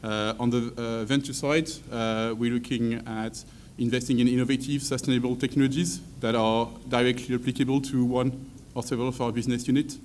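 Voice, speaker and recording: A man speaks on a conference panel in a halting way, dropping an uh between almost every phrase. His voice is mid-pitched, and a faint noise runs behind it. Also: flat delivery, a little mellow. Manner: halting, heavy use of uh between words. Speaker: corporate venture head, panelist.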